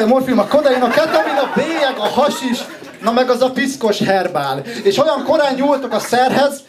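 High-pitched young man performing slam poetry. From Hungary. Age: 20s. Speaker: slam poet, contestant.

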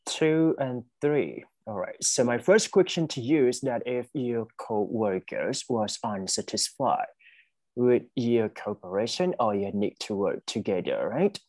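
A man teaching an online class, running interview practice with brisk, encouraging short prompts. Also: mid-range voice, animated, darkish tone, dry recording. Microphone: video call.

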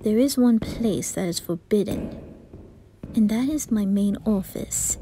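A woman's voice: mid-range voice, a little slow, mellow, slight background noise, close to an everyday voice, poor audio. A woman voicing a haughty, bratty teenage aristocrat boy in an anime ASMR roleplay, imperious and mocking. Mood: coolly hospitable.

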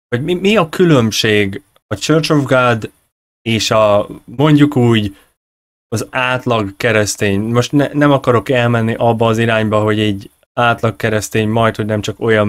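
A man in his thirties hosting a conversational discussion, halting as he searches for words and corrects himself. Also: mid-range voice, dry recording, studio quality. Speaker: host, interviewer. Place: small indoor room at home. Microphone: close desk microphone on a video call.

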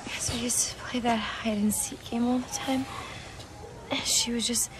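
A woman acting as a wounded young woman in a video game scene. Her voice is high, tender and shaken, caring through the pain. Loud ambience surrounds her. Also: fairly even intonation, moderate pace, lightly acted. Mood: weak, wistful. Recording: loud background noise and ambience.

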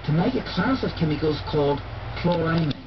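A man's voice: on the high side, animated, a little fast, over a noisy crowd. A man explaining science in a presenter's style, played through a toy voice changer. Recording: dull lo-fi playback, noisy re-recording.

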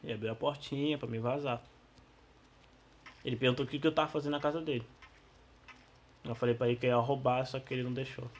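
A Brazilian man who is losing his voice, giving casual, slangy, excitable gameplay commentary. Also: medium pitch, fast, long pauses, slight background noise. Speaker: gaming YouTuber.

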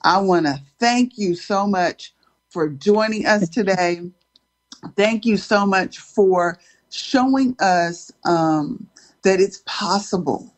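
A woman's voice: mid-range voice, takes it slowly. A woman co-hosting a faith podcast, enthusiastic and thankful as she speaks to her guest. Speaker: podcast co-host. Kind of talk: casual chat with preaching tone.

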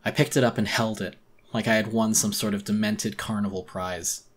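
A man narrating a horror story as a dramatic audiobook reading, intense, theatrical and deliberate. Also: on the low side, steady tone.

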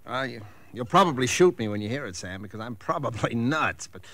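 An actor playing a troubled real estate agent, stammering and earnest, in 1940s radio drama style. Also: male voice, medium pitch, expressive, a little fast, a bit bright, no room sound. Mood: uneasy, hesitant. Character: nervous, troubled male real estate agent confiding in friend.

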